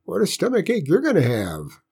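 A man voicing a stubborn, fond old country grandfather with a folksy rural drawl and a husky voice. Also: fairly high pitch, huge pitch swings, moderate pace, a little mellow, voice pushed up, full character voice.

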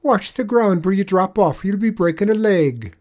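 Storybook figure: man voicing a high, wheedling, tricky leprechaun with an Irish lilt. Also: moderate pace, darkish tone, natural voice. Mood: sly. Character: sly little male leprechaun cobbler, tricky.

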